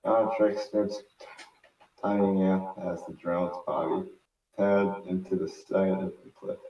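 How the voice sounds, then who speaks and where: Male amateur narrator, deep voice, halting script read, off-mic in an echoey room. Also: level tone, warm tone, highs cut off. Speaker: narrator of a fan table read. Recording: roomy, distant mic with faint noise.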